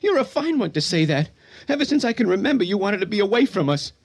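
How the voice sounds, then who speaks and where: An actor playing a grief-stricken, bitter young son in radio drama, with anguished, stammering outbursts. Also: male voice, high voice, big pitch swings, fast talker, exaggerated voice. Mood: agitated, defensive. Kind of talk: old-time radio drama acting.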